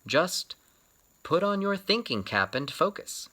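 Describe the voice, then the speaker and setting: A man voicing a kindly male schoolteacher from a storybook, gentle and encouraging with the pupils. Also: sits high, very animated, measured pace, pitched above the performer's own voice, full character voice.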